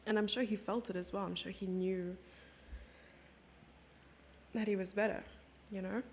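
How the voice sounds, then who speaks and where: A woman's voice: medium pitch, fairly even intonation, normal speed, a little mellow, slight background noise. A South African woman giving live chess commentary and chatting with her viewers, reading their questions aloud and answering them. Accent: South African English.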